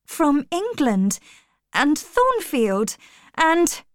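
Storybook heroine played by a woman: young governess, high-pitched, swooping, earnest, modest yet firm. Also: wildly swooping pitch, very slow, no echo, clean studio sound, exaggerated voice. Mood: hesitant, sad. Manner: pleading, polite, impassioned. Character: earnest, emotional young governess, modest but strong-willed.

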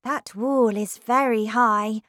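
A woman's voice: a little high, fairly even intonation, slow, a bit bright, pitched above the performer's own voice. A woman voicing a polite, curious young girl in a storybook, with an earnest, questioning manner.

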